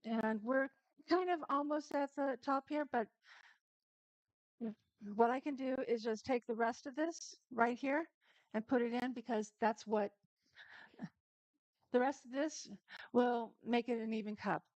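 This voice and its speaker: A woman's voice: fairly high pitch, unhurried, pauses often, no room sound, poor audio. A woman hosting a casual live-stream cooking demo, chatting straight to her viewers and laughing easily.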